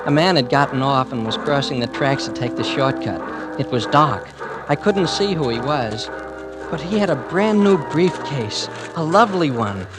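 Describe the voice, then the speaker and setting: A man's voice: medium pitch, natural voice. An actor in old-time radio drama playing a polite, troubled young male murderer, speaking in a hesitant, earnest way. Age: young adult. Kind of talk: 1940s-50s radio drama acting and first-person narration. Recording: old radio recording with music. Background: dramatic music.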